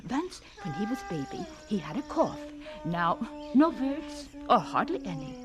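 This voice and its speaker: An actress playing a kindly German farm wife who speaks broken English with a German accent, in a radio drama. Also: female voice, fairly high pitch, big pitch swings, measured pace, music in the background. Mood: gentle, proud. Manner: broken English, warm and fussing. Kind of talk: 1940s radio drama acting.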